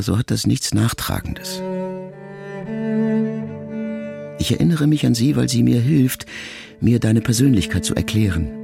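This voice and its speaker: Low, gravelly, reflective grown son. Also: male voice. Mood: sombre, remembering. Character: reflective grown son addressing his mother, sombre and tender. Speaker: radio play actor. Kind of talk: intimate literary radio play monologue.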